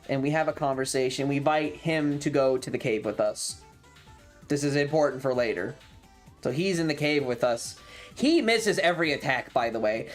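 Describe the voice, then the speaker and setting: A streamer telling a tabletop game story casually and animatedly, with frequent swearing. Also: medium pitch. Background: background music.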